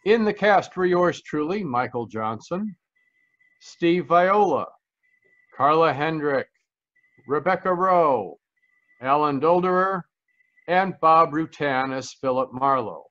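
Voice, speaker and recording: A man's voice: fairly high pitch, lively intonation, slow, pauses often, a bit bright, highs cut off. A man announcing in the scripted style of old-time radio.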